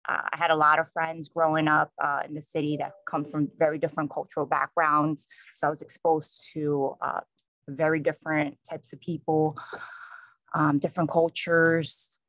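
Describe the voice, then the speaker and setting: A man with a New York accent, a police sergeant introducing himself on a panel in a plain, conversational way with many pauses. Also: a little high, monotone, sharp tone, no room sound, rough recording. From New York, USA.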